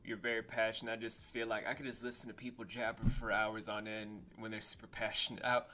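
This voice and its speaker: A man's voice: fairly low pitch, flat delivery, fast talker, pushed and bright. A man hosting an interview podcast, talking bluntly and joking, acting out little scenes as he goes. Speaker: podcast host. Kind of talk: casual interview chat.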